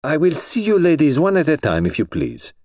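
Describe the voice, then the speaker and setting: A man voicing a brisk, mysterious foreign gentleman who speaks in crisp, clipped, formal phrases. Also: on the high side, huge pitch swings, no echo, clean studio sound, pitched above the performer's own voice.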